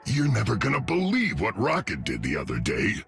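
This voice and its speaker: A man voicing a big, gentle, earnest and chatty tree creature with a gravelly voice in a video game. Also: lowish voice, wildly swooping pitch, brisk, exaggerated voice. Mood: earnest, polite. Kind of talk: video game character dialogue.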